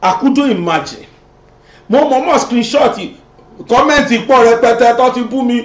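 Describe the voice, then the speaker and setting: Nigerian yoruba guy going off on a political rant, real high voice, echoey dull recording. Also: lively intonation, normal speed. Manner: mocking, switching between English and Yoruba. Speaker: commentator. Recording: roomy, dull lo-fi sound.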